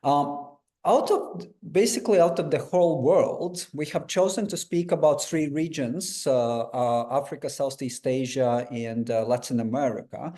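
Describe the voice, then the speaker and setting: A man's voice: mid-range voice, measured pace, dark tone. A man hosting a webinar over a video call, talking in a friendly, loose, conversational way. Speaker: webinar host. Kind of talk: webinar interview.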